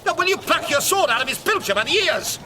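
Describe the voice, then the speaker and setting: An actor playing a mocking, quick-witted, hot-headed young nobleman in a British accent, in a theatrical Shakespearean radio drama. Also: extremely high pitch, very animated, crowd noise. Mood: furious, taunting. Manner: theatrical, teasing, emphatic.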